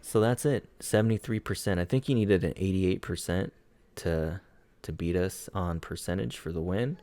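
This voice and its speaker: A man giving a relaxed, conversational breakdown of game attacks as he watches them play, his voice hoarse and going out. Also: sits low, moderate pace, no room sound. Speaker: clan player reviewing war attacks. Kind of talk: casual gameplay commentary.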